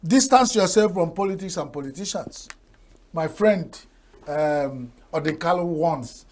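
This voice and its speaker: A man's voice: fairly high pitch, measured pace, a little mellow. An older Nigerian man whose first language is Igbo, giving folksy TV talk show commentary full of proverbs and chuckles. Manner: folksy, proverb-laden, laughs at his own jokes.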